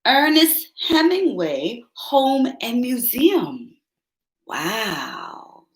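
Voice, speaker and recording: Female host reading a picture book to kids; animated, fairly high voice, talks to the children directly. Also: very slow. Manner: speaks directly to young listeners, asks them questions. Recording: roomy echo.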